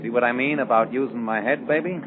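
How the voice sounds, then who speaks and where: An actor as a sly crook, noisy old radio. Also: male voice, mid-range voice, fast, close to an everyday voice. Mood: cool, menacing. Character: calculating, smooth-talking male crook, quietly menacing. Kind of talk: 1940s radio acting. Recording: narrow, noisy 1940s radio recording.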